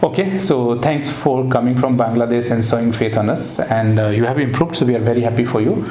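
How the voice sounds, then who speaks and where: A man working as a voice therapist, asking brisk, clinical questions and then addressing viewers directly. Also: medium pitch, a little mellow. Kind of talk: clinic interview and sign-off. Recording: echoey room, faint noise.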